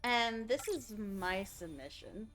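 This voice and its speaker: A woman's voice: on the high side, expressive, takes it slowly, fairly bright tone. A woman livestreaming a game, chatting casually and thinking aloud as she reacts to what is on screen. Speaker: game streamer.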